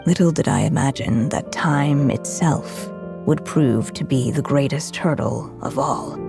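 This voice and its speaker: A woman playing a frail, elderly female writer in a video game, solemn and resolute, speaking in a measured, formal and reflective way. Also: rumbling low, vocal fry, music in the background, exaggerated voice. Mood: wistful. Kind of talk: scripted video game voice acting.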